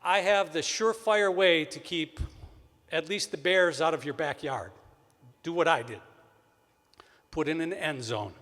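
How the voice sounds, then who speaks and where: A man's voice: a little slow, long pauses, fairly bright tone. A man from Wisconsin with a Wisconsin accent, emceeing a college convocation with upbeat announcements and jokes. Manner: upbeat, joking, booming sports-announcer intro. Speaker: event emcee. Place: large hall. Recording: long hall reverb.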